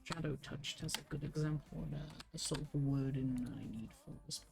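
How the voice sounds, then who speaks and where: A woman's voice: deep voice, fairly even intonation, brisk, vocal fry, darkish tone, soft background music. A British woman streaming as she writes tabletop game rules, thinking aloud in a hesitant, self-mocking way while she works out the wording. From United Kingdom.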